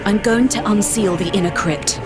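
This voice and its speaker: A woman's voice: medium pitch, lightly acted. A woman voicing an earnest scholar-mage. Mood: resolute. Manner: measured, explanatory storytelling. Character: earnest young female scholar-mage, loyal and composed. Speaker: expedition scholar. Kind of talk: game cutscene voice acting. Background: game music.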